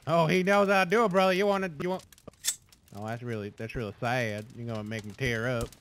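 A man's voice: medium pitch, fast, a bit bright, slight background noise, natural voice. A man roleplaying an eager, dim, crude rural brother who rambles. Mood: rambling.

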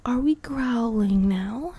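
A woman voicing a possessive, menacing young vampire bride in an intimate, teasing ASMR roleplay, breathy and often whispering. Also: fairly high pitch, lively intonation, slow, dark tone, a little hiss or hum. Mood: cold, menacing. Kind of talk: ASMR girlfriend roleplay, improvised.

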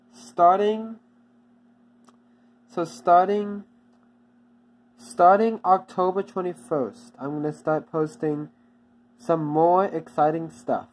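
A channel owner giving a casual, off-the-cuff update, halting and repeating itself often with many restarts. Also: mid-range voice.

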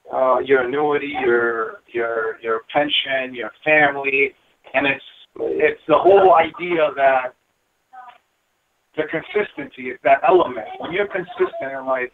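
A man's voice: mid-range voice, expressive, a little slow. Earnest man on a thin phone line. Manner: earnest, repeats "you know". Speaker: guest, building engineer. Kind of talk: casual interview talk.

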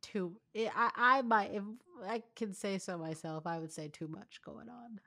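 A woman's voice: medium pitch, normal speed, vocal fry, sharp tone, no echo, studio quality. A young adult woman casually narrating fan fiction with banter, in a giggly, informal manner. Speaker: fan fiction reader and writer.

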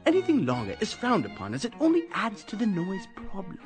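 Mock news narrator for a game: proper, droll, very animated intonation, music underneath. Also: female voice, mid-range voice, fairly quick. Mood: prim, informative. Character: droll, proper newsreader for a librarians' digest.

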